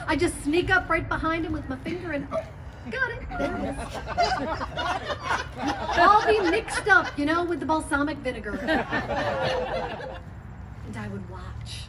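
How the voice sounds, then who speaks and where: A woman performing a comic stage monologue as a gleeful, bawdy character, theatrical and teasing with drawn-out pauses. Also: extremely high pitch, very animated, over a noisy crowd, heavily acted. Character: bawdy, gleeful woman cataloguing kinds of moans. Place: live performance at a bar and grill. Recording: very noisy live stage recording.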